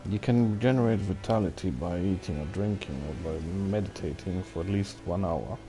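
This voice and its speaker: Low-voiced man playing a video game. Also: moderate pace, music in the background. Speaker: gamer playing and commenting. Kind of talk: casual let's play commentary, reading on-screen text aloud.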